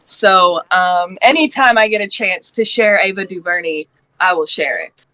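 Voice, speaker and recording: A woman's voice: fairly low pitch, normal speed, bright, forceful tone, no room sound, poor audio. A woman in her late thirties from Georgia, talking earnestly and passionately in a casual panel discussion, laughing now and then. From Georgia, US Deep South. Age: 30s.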